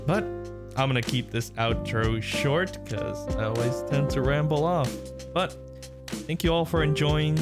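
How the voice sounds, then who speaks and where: A man hosting his own channel, giving a casual, upbeat and grateful outro as a voice actor. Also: medium pitch. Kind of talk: casual YouTube outro chat.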